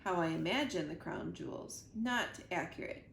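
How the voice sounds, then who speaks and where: A woman reading a children's book aloud in an expressive, animated storytelling manner. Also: fairly low pitch, moderate pace. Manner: animated, acts out dialogue. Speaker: reader of children's books at a library. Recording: echoey room, clear background noise.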